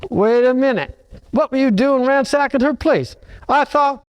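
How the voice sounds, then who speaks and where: A man playing a slangy, animated war-veteran piano player, an old pal in a 1940s-style detective parody. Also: extremely high pitch, moderate pace, clear, resonant tone. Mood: hostile.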